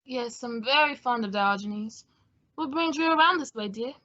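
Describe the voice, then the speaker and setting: Woman playing a high-voiced, fussy theatrical gentleman. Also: expressive, normal speed. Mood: arch, genial. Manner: arch, droll, affected. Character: fussy, sardonic, theatrical male photographer, grumpy but fond. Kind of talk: old-time radio comedy-mystery acting. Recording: dull lo-fi audio.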